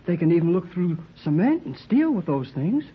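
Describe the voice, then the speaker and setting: A woman's voice: on the low side, very animated, normal speed, breathy edge, heavily acted. A woman playing a plucky young sidekick in an old-time radio serial, talking in breezy, folksy slang. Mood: excited. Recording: muffled, noisy old radio recording.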